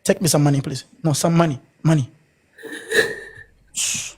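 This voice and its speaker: A man chatting loudly on a live video stream, laughing often and switching between English, Spanish and French. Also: fairly high pitch, fairly even intonation, moderate pace. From Haiti. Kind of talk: boisterous live-stream chat.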